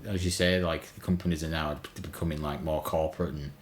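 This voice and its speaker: A man's voice: extremely low pitch, fairly even intonation, fairly quick. A man chatting informally as a panelist in a video game discussion. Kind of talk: casual chat. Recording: roomy, echoing sound.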